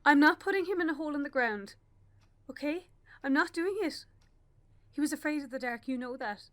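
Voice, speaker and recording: Radio play: actress as bereaved young Irish mother, voice on the high side, halting, stubborn, raw with grief, naturalistic. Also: expressive. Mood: defiant, distraught. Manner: halting, with pauses and repeated phrases.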